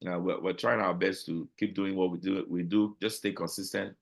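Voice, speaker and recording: A man with a Nigerian accent, a guest talking casually in an interview, animated and full of restarts and repeated words. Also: low-pitched, normal speed, creaky. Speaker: guest, business owner.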